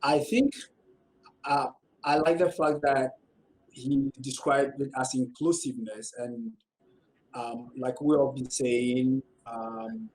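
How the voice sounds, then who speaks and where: A man from West Africa with a West African accent, speaking as a panelist in a discussion and pausing often on fillers and restarts. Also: medium pitch, steady tone, darkish tone, poor audio. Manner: hesitant, many fillers and restarts.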